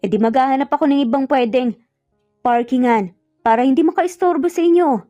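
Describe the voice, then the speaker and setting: A woman's voice: sits high, fairly quick, dark tone, lightly acted. A woman voicing a determined, lovestruck young man, earnest and insistent, in an audio romance. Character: determined, lovestruck young man courting his estranged wife. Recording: muffled lo-fi audio, music bed behind.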